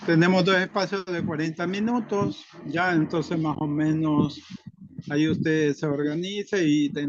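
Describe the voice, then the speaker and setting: Male host and prayer leader, slightly high voice, muffled lo-fi online call. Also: dry recording. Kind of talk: leading prayer and moderating an online meeting. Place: online meeting. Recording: dull lo-fi online call audio.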